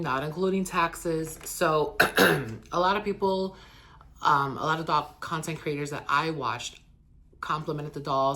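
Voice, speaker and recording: A man speaks with a hoarse voice, sitting lower than usual because he is sick. As the host of a casual collector vlog, he rambles earnestly through an unboxing and review, filling his talk with ums and likes. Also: bright, forceful tone. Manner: eager and earnest about the doll. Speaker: doll collector and channel host.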